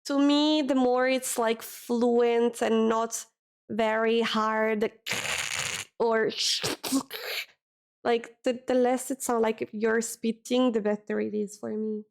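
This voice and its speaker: A woman streamer, chatting casually with her viewers, often pausing and correcting herself as she hunts for words. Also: on the high side, little pitch movement, creaky, darkish tone, clear, resonant tone. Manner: hesitant, searching for words, self-correcting. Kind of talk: casual chat with chat readers.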